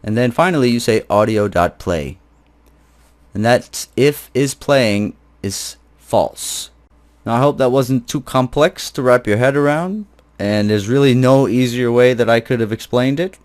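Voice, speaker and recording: A man's voice: mid-range voice, unhurried. A man teaching an informal screencast programming tutorial, explaining step by step in a casual, blunt, conversational way.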